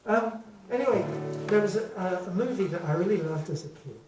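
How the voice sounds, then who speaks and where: An older Englishman, talking to a crowd in a rambling, meandering way. He sounds some distance from the mic, and the room gives his voice a roomy echo. Guitar plays clearly behind him. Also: high-pitched, expressive, fairly quick. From England. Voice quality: soft voice. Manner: rambling, reminiscing, frequent ums. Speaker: singer-songwriter. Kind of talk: chatting to a live audience between songs. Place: live venue. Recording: echoey live room from far off.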